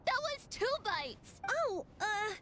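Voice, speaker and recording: A woman voicing a small, eager child in a video game, excitable and a little whiny. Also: very high voice, slow, very noisy, exaggerated voice. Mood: indignant, childish. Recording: loud music behind the voice.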